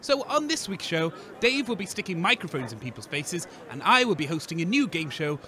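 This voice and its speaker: A man as a grumpy, high-pitched sceptical radio co-host. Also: sing-song, a little fast, sharp tone. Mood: scornful. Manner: scornful, pompous, exasperated.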